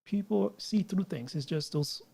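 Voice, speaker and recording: A man's voice: high voice, measured pace, creaky, warm tone, slightly rough. A man hosting a casual live stream, rambling with frequent restarts and asides to viewers. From United States. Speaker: livestream host. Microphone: mixer setup with line noise.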